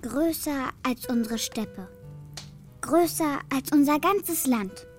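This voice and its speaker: A woman's voice: sits high, expressive, takes it slowly, faint music behind. A female performer playing an earnest, matter-of-fact schoolgirl granddaughter in a children's radio play. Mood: earnest, patient. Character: earnest young schoolgirl, bright and eager granddaughter.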